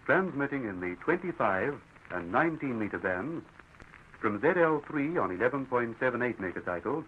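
A man giving a formal, measured radio station announcement. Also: mid-range voice, big pitch swings. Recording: tinny, phone-like archive radio recording with faint hiss.

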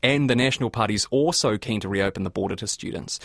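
A male reporter with a New Zealand accent delivers conversational news narration and questions. His voice is low with plenty of creak. It is recorded dry, with very little room sound. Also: quick pace, a bit bright, dry recording. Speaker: reporter and presenter.

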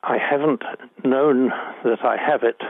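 A man's voice: mid-range voice, fairly even intonation, unhurried, dry recording, narrow, tinny audio. A man in his eighties with a Scottish accent, a poet reading his work and talking about it in an interview. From Northern Ireland. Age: 80s.

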